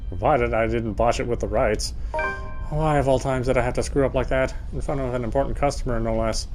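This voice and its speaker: A man's voice: mid-range voice, fairly even intonation, brisk, music in the background, low-quality recording. A man reading visual novel narration aloud expressively, with casual asides.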